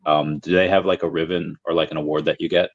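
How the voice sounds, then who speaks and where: A man from the United States hosting a podcast, asking halting friendly questions. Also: extremely low pitch, little pitch movement, slightly rough. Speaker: podcast host. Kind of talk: casual interview chat. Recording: dull lo-fi sound.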